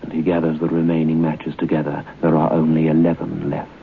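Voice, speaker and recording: An actor playing an ominous storyteller, narrating an old-time radio horror tale in deliberate short phrases. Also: male voice, very deep voice, completely flat delivery, creaky. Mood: grave, suspenseful. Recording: muffled, noisy old radio broadcast.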